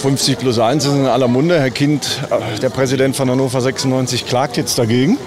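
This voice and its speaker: A man's voice: medium pitch, fairly even intonation, a little fast. A male reporter asking probing questions in an outdoor interview. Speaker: radio reporter. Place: outdoors at a crowded stadium fest. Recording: crowd noise behind, outdoors.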